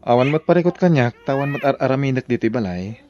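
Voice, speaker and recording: A man's voice: medium pitch, lively intonation, quick pace, warm tone, natural voice. A man playing a helpful, teasing brother-in-law in an Ilocano radio drama. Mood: agreeable. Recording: dull, muffled sound.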